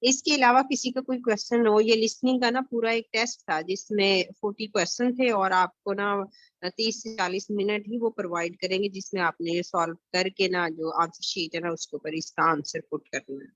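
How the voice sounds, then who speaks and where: A woman's voice: mid-range voice, steady tone, moderate pace, a bit bright, no room sound. A woman with a Pakistani accent teaching an IELTS class over a video call, explaining patiently with many fillers. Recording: dull lo-fi online call audio.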